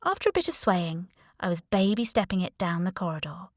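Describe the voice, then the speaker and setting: A woman's voice: lowish voice, a little fast, no room sound. Wry woman narrating fiction, dry sound. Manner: wry, expressive. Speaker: audiobook narrator. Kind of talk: scripted first-person fiction narration.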